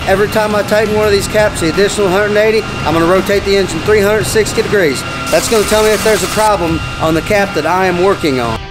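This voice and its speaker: A man's voice: sits high, quick pace, few pauses, music in the background, poor audio. A middle-aged man with an American accent, a folksy, plain-spoken diesel mechanic explaining a job step by step. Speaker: diesel mechanic presenting a tutorial. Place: large indoor heavy equipment workshop.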